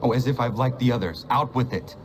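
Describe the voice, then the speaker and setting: A man's voice: mid-range voice, fairly quick, close to an everyday voice. An actor playing a stern, earnest young male prisoner with a formal, blunt way of speaking, in a game drama. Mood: exasperated. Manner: formal, blunt, without contractions. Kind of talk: dramatic game cutscene acting. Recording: clear background noise and ambience.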